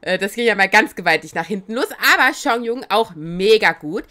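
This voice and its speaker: Chatty, excitable woman with a cold. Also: mid-range voice, normal speed. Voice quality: slightly raspy. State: has a cold. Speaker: game streamer. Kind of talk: casual let's-play commentary.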